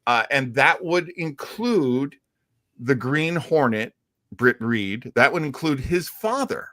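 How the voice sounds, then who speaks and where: A man hosting a show, explaining in casual talk with a halting, thinking-aloud delivery. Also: medium pitch, animated, sharp tone, no room sound, clean studio sound. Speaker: show host. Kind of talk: casual explanatory talk about old radio heroes.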